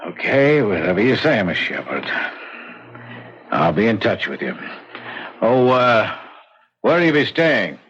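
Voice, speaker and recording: An actor playing a patient, matter-of-fact American police detective in a 1970s radio drama, blunt, official and placating. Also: male voice, on the low side, big pitch swings, unhurried, pauses often, fairly bright tone. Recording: muffled 1970s radio broadcast recording.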